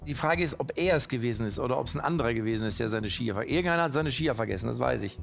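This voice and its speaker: A man's voice: medium pitch, animated, rapid-fire, creaky, faint music behind. A man competing on a quiz show, thinking aloud in a chatty, musing way and laughing easily. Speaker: quiz contestant. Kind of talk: casual chat while guessing answers.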